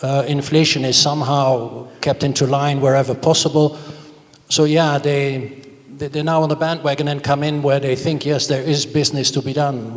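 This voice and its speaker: A middle-aged man answering on a panel, explaining emphatically and repeating words as he goes. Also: medium pitch. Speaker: panelist, hotel investor. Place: large indoor conference stage. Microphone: handheld microphone. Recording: reverberant conference hall sound.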